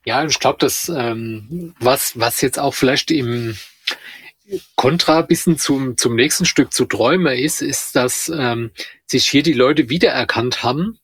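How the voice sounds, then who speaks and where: A man talks reflectively as a podcast co-host. His voice is mid-pitched and slightly rough, recorded close and dry. He feels his way through halting phrases as he searches for words. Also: dry recording. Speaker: podcast co-host discussing radio plays. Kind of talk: casual reflective discussion.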